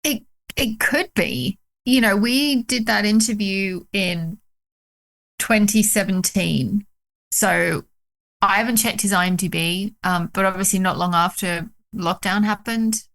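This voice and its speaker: A woman from the UK, a documentary director, talking excitedly about her film on a video call, with many fillers. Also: mid-range voice, measured pace. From United Kingdom. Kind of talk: casual interview chat.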